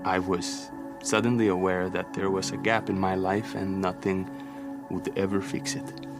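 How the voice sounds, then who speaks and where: An actor playing a wistful man who quietly admits an emptiness in his life, over music. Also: low voice, level tone, normal speed.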